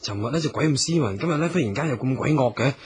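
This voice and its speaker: A man's voice: medium pitch, animated, racing, lightly acted. A man playing a timid, whiny young pop singer in a radio comedy drama, hesitant, with a Hong Kong Cantonese accent. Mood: grumbling. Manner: hesitant, whining.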